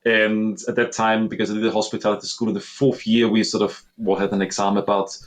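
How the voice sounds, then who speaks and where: Earnest Austrian man, lowish voice. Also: little pitch movement, fast. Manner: earnest, reflective storytelling. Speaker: guest, master sommelier. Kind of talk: conversational interview.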